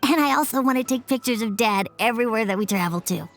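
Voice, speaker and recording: A woman's voice: a little high, quick pace, soft background music, close to an everyday voice. A woman voicing an earnest, cheerful young boy in a game. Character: hopeful young boy artist, eager. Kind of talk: game voice acting.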